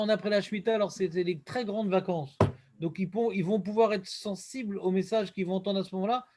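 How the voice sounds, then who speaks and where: Rabbi, man, high voice, lively questioning teacher, quotes. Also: noisy background. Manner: lively, questioning, quotes Hebrew verses in a chant-like cadence. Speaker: rabbi teaching a Talmud class. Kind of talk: Talmud lesson.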